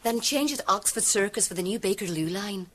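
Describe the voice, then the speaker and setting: An actress playing an anxious, devout nun in a radio drama, excitable and earnest in manner. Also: female voice, medium pitch, fairly quick, heavy background noise, natural voice. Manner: startled, earnest, explanatory.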